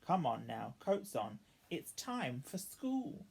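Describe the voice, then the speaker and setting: A husky, motherly elephant played by a woman reading a storybook, brisk and bossy yet indulgent. Her lines rise and fall in big, lively swoops. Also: rumbling low, full character voice.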